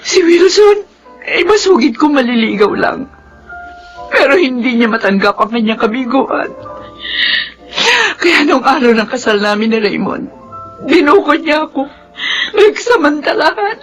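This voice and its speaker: A woman's voice: high-pitched, animated, unhurried. A woman with a Filipino accent playing an anguished, long-suffering mother in a radio drama, with emphatic delivery. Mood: anguished confession. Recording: radio drama with music underneath.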